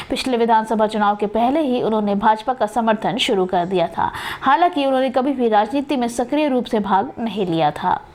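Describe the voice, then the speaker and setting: A woman's voice: fairly high pitch, darkish tone. A woman news presenter reading a scripted news bulletin.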